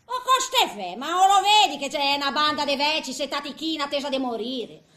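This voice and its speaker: A woman's voice: high voice, animated, a little fast, sharp tone, echoey room. An actress playing a feisty, grumbling woman of eighty in a theatrical comic monologue, with a Tuscan accent and muttered, exclamatory asides. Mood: mocking, grumbling. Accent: Tuscan Italian. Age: older. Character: feisty, grumbling eighty-year-old woman in a nursing home.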